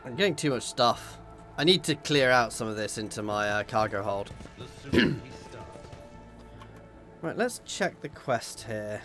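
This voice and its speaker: A man with a slightly hoarse voice giving relaxed, casual commentary as he plays a video game. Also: medium pitch, measured pace, pauses often, faint music behind. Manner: relaxed, muttering asides.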